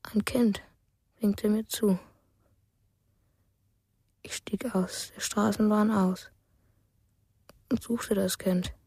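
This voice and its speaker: A woman playing a withdrawn, frightened young boy in a radio drama, with a soft, hushed, halting delivery. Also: medium pitch, steady tone, slightly rough, no room sound, heavily acted. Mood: dreamy, subdued. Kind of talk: German radio drama monologue.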